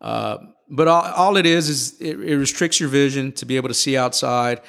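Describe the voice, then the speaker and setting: A middle-aged man, an airline captain, telling his story in a casual interview, relaxed and explanatory with frequent fillers and restarts. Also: medium pitch, normal speed, creaky.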